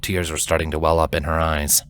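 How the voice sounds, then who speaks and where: A man reading scripted dramatic fiction as a narrator, in a measured, solemn storytelling manner. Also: a bass voice, little pitch movement, a bit bright, slight background noise.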